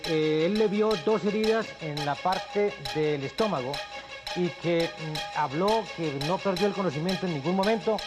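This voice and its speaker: A man with a Colombian accent delivering an urgent breaking-news bulletin in a grave, halting, formal manner. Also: high-pitched. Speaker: news anchor.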